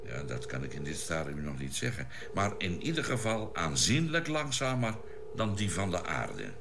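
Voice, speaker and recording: An actor playing a thoughtful ship's doctor and scientist in a Dutch science-fiction radio drama, explaining things deliberately. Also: male voice, low-pitched, huge pitch swings, gravelly, over music, exaggerated voice. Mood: thoughtful, explanatory. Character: measured, thoughtful male scientist-doctor aboard a spaceship. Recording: noisy old radio drama recording.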